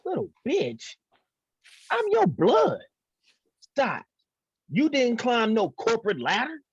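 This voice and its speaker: A man's voice: very high-pitched, wildly swooping pitch, slow pace, long pauses, breathy edge, heavily acted. An actor at a table read playing a young man hustling his grandfather for money, wheedling and indignant. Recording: dull, dry lo-fi audio.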